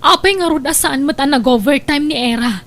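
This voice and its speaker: A woman doing worried ilocano filipino wife in a family drama, pretty high voice, kinda suspicious. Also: brisk, a bit bright. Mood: pleading, questioning. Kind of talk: Ilocano radio-style drama acting.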